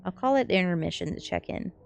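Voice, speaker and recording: A woman's voice: fairly low pitch, animated, fast talker, creaky, soft background music. A woman narrator voicing an easygoing but concerned aunt in conversational storybook dialogue. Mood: caring.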